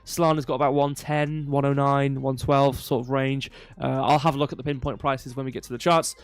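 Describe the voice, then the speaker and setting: A man's voice: mid-range voice, flat delivery, a little fast, clear, resonant tone, no room sound. A man with a slightly stuffy, nasal voice hosting a casual livestream of market commentary, digressing with self-deprecating humour, close to the microphone. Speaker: crypto livestream host.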